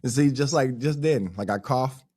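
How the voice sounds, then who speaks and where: A man's voice: medium pitch, normal speed, warm tone, breathy edge. A man hosting a podcast, chatting casually in a loose, rambling way with lots of fillers. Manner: loose, rambling talk full of "like" and "um". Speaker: podcast host.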